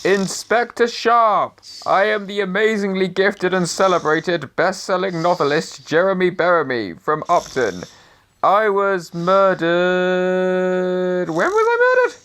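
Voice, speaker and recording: A man's voice: high voice, animated, slow pace, a bit bright, exaggerated voice. A man playing the pompous ghost of a murdered novelist, dithering and self-important, in an audio drama. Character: pompous dead male novelist, now a ghost.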